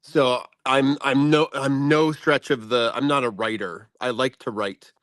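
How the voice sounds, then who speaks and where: A man speaking as a guest in a casual chat, explaining things in a halting, self-effacing way. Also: medium pitch, steady tone, fairly bright tone, no echo, studio quality. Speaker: guest speaker, science teacher and content creator.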